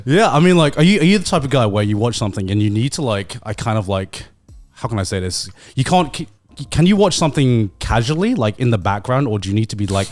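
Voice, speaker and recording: Male podcast co-host, mid-range voice, very lively intonation, casual back-and-forth. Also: mid-range voice, fast, soft background music.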